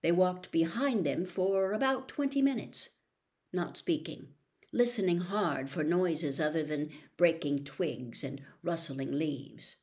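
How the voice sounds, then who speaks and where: A woman's voice: on the low side, lively intonation, slow pace, darkish tone. A woman reading a children's fantasy novel aloud as a storybook narrator. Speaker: audiobook reader.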